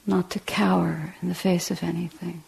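A woman guides a meditation in a hushed, lowish voice with a gravelly creak. She speaks in short, deliberate phrases with long pauses between them. The tone is soft and mellow, often breathy and almost whispered. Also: little pitch movement, poor audio. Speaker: meditation teacher.

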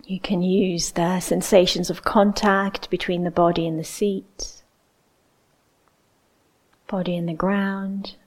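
A woman leading a guided meditation as a teacher, speaking in a gentle, unhurried way with long pauses. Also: on the low side, flat delivery.

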